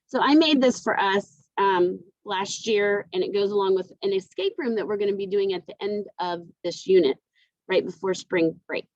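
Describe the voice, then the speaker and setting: A woman's voice: mid-range voice, dry recording, highs cut off. A woman teacher explaining a lesson informally, talking directly to her students in a conversational way, recorded on a computer microphone.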